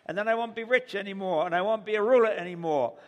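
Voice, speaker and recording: A man voicing a rich young ruler who is eager and pleased with himself, in a high, loud, excited voice. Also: fast talker, big-room sound, natural voice. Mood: boastful.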